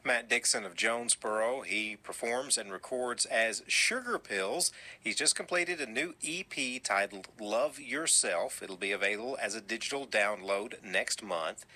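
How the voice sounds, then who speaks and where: A man's voice: lowish voice, unhurried, few pauses, bright, forceful tone. A man hosting a college radio interview, asking friendly questions and reading a formal sign-off. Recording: tinny phone-like recording.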